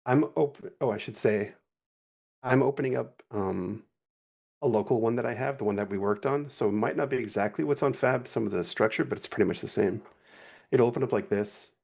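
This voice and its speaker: A man's voice: medium pitch, fairly quick, gravelly, slightly rough. A man talking casually on a livestream, often stopping to correct himself as he walks through a project. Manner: relaxed, rambling, self-correcting. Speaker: guest animator.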